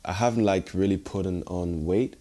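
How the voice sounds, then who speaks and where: A teenage man talking casually in a measured, hesitant way. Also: low voice, mellow. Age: teens. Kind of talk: casual chat.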